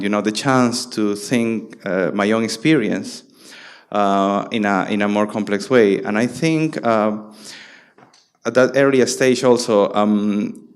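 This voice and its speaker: Male curator from Peru, late 30s, low smooth voice, halting panel talk. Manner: halting, many restarts and fillers. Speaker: guest curator. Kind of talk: panel conversation.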